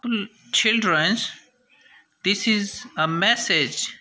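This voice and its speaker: Slow, bright-voiced puppeteer. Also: medium pitch. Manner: slow, simple, halting speech. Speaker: puppet show maker for children. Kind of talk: moral message to children.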